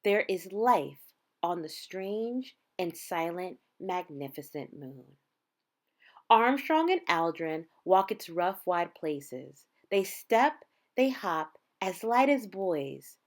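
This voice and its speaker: Expressive, unhurried woman reading a storybook. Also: lowish voice. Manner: measured, dramatic phrasing with drawn-out words. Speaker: storybook reader. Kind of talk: expressive read-aloud of a children's picture book.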